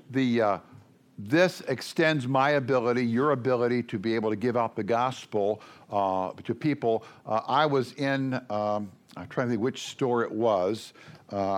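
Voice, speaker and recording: An older man preaching to a congregation in a loud, folksy, joking way, rambling with many fillers. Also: mid-range voice.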